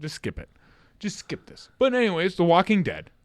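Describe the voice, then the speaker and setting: Podcast guy chatting, lots of inflection, high-ish lively voice, laughs a lot. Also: moderate pace, no echo. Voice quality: naturally deep voice. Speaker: podcast co-host. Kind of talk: casual chat.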